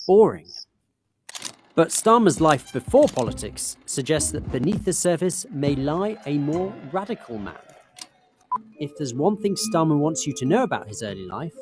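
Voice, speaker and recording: A man reading scripted explainer narration in a crisp, measured way. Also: a little high. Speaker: documentary narrator.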